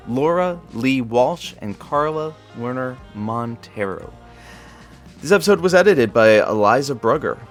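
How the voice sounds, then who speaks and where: Audio drama sidekick: an actor as an easygoing male studio producer, very varied pitch, teasing, some music underneath. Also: fairly bright tone. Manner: casual, teasing. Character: Frank, teasing, affable male radio show producer. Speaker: radio show sidekick and announcer. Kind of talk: audio drama banter and credits.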